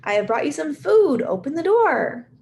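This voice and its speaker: A woman voicing a loving village mother with a high, sweet voice in a children's story. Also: sing-song, normal speed, creaky, a little mellow, a little hiss or hum, exaggerated voice. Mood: sweet calling.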